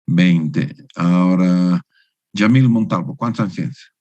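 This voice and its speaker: A man teaching an engineering class over a video call, with a lowish voice. He lectures in a didactic way and keeps asking his students for agreement. The sound is dry and close, with no room around it. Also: slow pace, dark tone, dry recording. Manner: didactic, keeps adding the tag question "no es cierto". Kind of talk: online university lecture.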